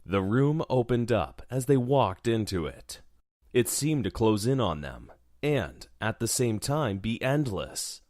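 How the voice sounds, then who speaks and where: A man's voice: medium pitch, very animated, a little slow, a little mellow, dry recording. A man narrating a scripted horror drama as its storyteller.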